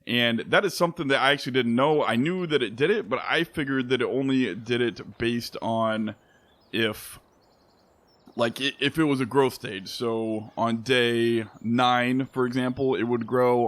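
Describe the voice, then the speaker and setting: A hoarse young man, rambling through casual gameplay commentary from a spare-bedroom home studio, chuckling and correcting himself as he goes. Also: medium pitch, a bit bright. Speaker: let's play host.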